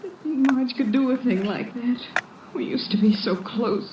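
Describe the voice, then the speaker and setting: An actress playing a wounded, betrayed young wife in an old-time radio drama, earnest and melodramatic. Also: female voice, fairly high pitch, moderate pace, lo-fi sound, close to an everyday voice. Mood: bewildered, tearful. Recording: noisy, distant, roomy old radio recording.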